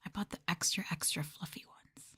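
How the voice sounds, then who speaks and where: A woman doing a live ASMR stream, talking softly and breathily to viewers in a gentle, subdued way, often dropping into a whisper close to the mic. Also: a little fast. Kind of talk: ASMR soft talking, casual chat with viewers. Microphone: close ASMR microphone.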